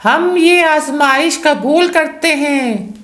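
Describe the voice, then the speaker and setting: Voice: sits high, lively intonation, takes it slowly, a bit bright, voice pushed up, heavily acted. A storyteller voicing a cautious young boy, the older brother, in a raised boyish voice. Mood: earnest.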